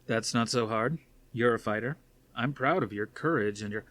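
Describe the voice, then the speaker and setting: Man reading as a steady, fatherly older commander. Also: mid-range voice, normal speed, natural voice. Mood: patient, consoling.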